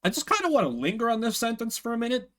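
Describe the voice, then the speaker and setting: A man streaming a game with casual, amused, off-the-cuff commentary, talking quickly. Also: very high-pitched, big pitch swings, a bit bright.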